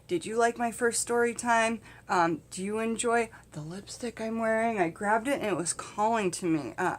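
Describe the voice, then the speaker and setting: A middle-aged woman telling a casual comedic story to camera in an animated, candid, conversational way. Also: medium pitch. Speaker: vlog host and storyteller. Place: small indoor space in front of a curtain.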